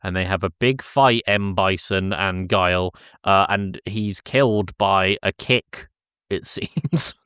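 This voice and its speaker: A man's voice: deep voice, slow, fairly bright tone, clean tone, no echo, studio quality. A man co-hosting a podcast, casually recapping a film in a jokey, loose storytelling way. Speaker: podcast co-host.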